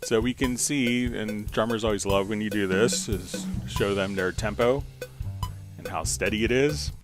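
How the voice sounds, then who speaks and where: Low-pitched man giving a software tutorial. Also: measured pace, music in the background. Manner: talks through each step as he works. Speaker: host answering viewer questions on a software tutorial stream. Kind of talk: casual software demonstration.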